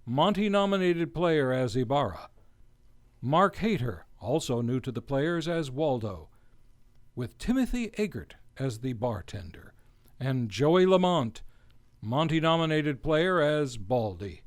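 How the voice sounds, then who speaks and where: Slow, theatrical male radio announcer, very lively. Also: mid-range voice. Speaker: host and announcer of a radio drama show. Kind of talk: scripted old-time radio announcing.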